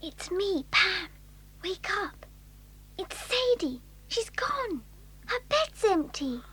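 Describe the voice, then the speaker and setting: A young boarding-school girl, worried and earnest. The voice is extremely high and moves about a lot. The manner is hushed and urgent, as in a children's radio play. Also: big pitch swings, slow, full character voice. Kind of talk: children's radio drama acting.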